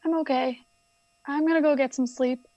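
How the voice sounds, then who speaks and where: Radio drama lead: actress as an uncertain, sincere young woman, notably high-pitched, closely and dryly recorded. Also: steady tone, normal speed, warm tone, no echo, lo-fi sound, natural voice. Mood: subdued. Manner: hesitant, sincere.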